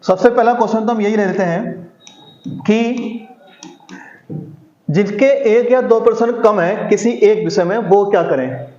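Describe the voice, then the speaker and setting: A man's voice: high voice, measured pace, pauses often, darkish tone. A man giving an exam-prep lesson, asking himself rhetorical questions, answering them and repeating key figures. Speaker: exam coaching teacher. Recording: echoey room, dull lo-fi sound.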